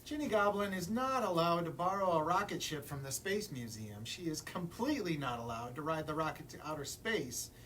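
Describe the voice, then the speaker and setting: A man's voice: a little high, sing-song, brisk, hardly any pauses. A man reading a children's picture book aloud as a librarian at story time, expressively, putting questions to young listeners. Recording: distant mic, room noise.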